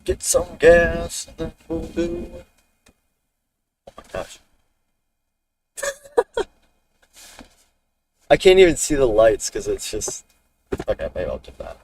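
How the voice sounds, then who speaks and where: A man's voice: on the high side, huge pitch swings, takes it slowly, long pauses, darkish tone. A man chatting casually to a livestream while driving a car, rambling and talking to himself about the route and traffic. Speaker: storm chaser streaming live. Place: inside a car on the road. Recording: distant in-car mic with road noise.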